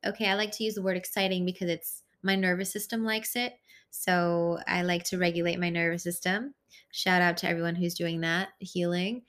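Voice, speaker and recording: A woman with a somewhat low voice talks through an unscripted solo podcast. Hungarian is her first language, and she rambles in an easy, spiritual way, full of fillers and asides. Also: fairly even intonation, fairly quick, a bit bright. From born in Italy, raised in the United States. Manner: rambling, spiritual, self-aware with laughter. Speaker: podcast host.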